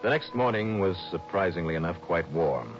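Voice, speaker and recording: An American actor playing a tough, determined investigator in a 1960s radio detective drama, terse and brisk. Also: male voice, sits low, big pitch swings. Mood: terse, suspenseful. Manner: terse, brisk, hard-boiled. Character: tough, determined male insurance investigator narrating his case. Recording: dull vintage radio recording with music.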